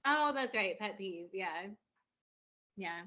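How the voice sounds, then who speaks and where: A woman co-hosting a podcast, talking casually and informally in a halting, unscripted way on lo-fi audio. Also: medium pitch, expressive, sharp tone. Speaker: podcast co-host and indie author.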